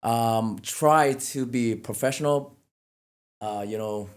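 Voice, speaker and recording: A man with a Vietnamese accent speaks as a guest in a casual interview. His voice sits at a medium pitch. A native Vietnamese speaker, he is earnest and often halts or repeats phrases as he goes. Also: takes it slowly. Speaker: interview guest, real estate agent.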